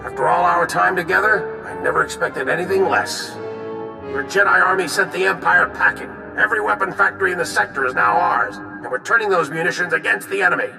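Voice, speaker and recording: A gruff veteran general from a video game, heavily gravelled and a little high for a man. He speaks briskly and with command, in an echoing, distant space with music behind. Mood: assured. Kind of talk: video game cutscene dialogue.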